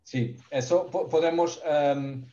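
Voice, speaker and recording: A man's voice: fairly high pitch, steady tone, dark tone, muffled recording. A man from Portugal with a Portuguese accent, speaking Spanish as a second language, giving a technical webinar presentation and often searching for words. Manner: halting, searches for words, many restarts. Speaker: ventilation specialist.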